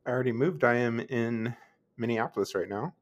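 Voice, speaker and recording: A podcast co-host from Pennsylvania chatting casually, direct and relaxed, with many fillers. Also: medium pitch, fairly quick, dull audio.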